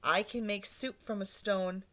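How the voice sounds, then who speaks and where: A woman reading aloud and voicing a clever, hungry young man with a slow, coaxing, persuasive delivery. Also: medium pitch, fairly even intonation, sharp tone.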